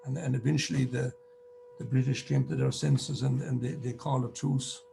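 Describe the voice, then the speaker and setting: Gravelly Irish man on a noisy call. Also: mid-range voice, steady tone, normal speed, dark tone. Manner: repeats words while speaking gravely. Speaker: speaker on local history. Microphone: video call.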